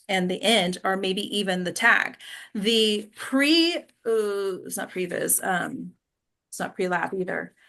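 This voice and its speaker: A woman's voice: mid-range voice, creaky, a bit bright. Woman casually teaching a small class. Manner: conversational, peppered with check-in questions like "right?". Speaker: acting class teacher and host.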